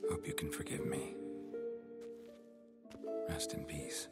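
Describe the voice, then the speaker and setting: An actor playing a stoic, world-weary monster hunter in a fantasy game, speaking tersely in a low gravelly voice. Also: male voice, slow pace, over loud music. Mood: remorseful. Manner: terse, clipped, flat delivery.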